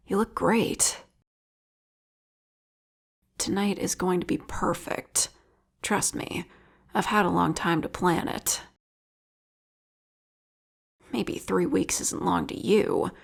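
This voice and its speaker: A woman playing an obsessive, lovesick female vigilante killer in an intimate audio roleplay, with a whispery, gravelly voice and a slow, taunting manner. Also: medium pitch. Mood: tender, possessive.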